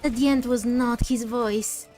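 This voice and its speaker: A woman's voice: fairly high pitch, fairly even intonation, measured pace, darkish tone, faint music behind. A woman streaming a video game with casual, reactive commentary, talking to herself in short bursts.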